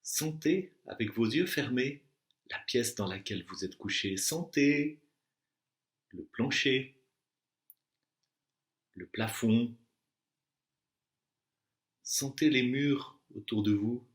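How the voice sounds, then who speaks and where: A man teaching meditation, guiding a deep relaxation with slow, deliberate instructions and long pauses. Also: animated. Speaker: yoga nidra and meditation teacher. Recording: echoey room sound.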